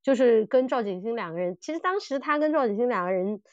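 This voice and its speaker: A woman co-hosting a casual podcast chat, telling stories in an animated way and often repeating her phrases. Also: on the high side, fast, no echo, highs cut off. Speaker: podcast co-host. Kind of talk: casual chat about audio dramas.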